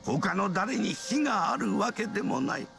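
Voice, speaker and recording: A wise old nobleman from a fantasy game talks with gravelly authority. He is grave and forgiving, in the manner of an elder, with music clearly behind. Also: high-pitched, lively intonation, normal speed. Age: older. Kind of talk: Japanese fantasy game voice acting.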